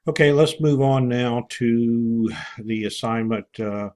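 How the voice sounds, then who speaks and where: A man giving an informal, halting walkthrough as an online course instructor, pausing often as he explains things to students. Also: mid-range voice, darkish tone. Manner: halting, with many pauses and throat clearing.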